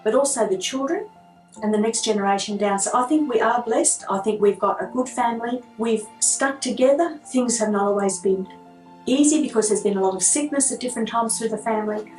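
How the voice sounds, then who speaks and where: A woman speaking warmly and reflectively about her family in a casual interview. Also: fairly high pitch, fairly quick, soft background music. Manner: warm, reflective. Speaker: sister-in-law in the family. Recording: echoey room heard from far off.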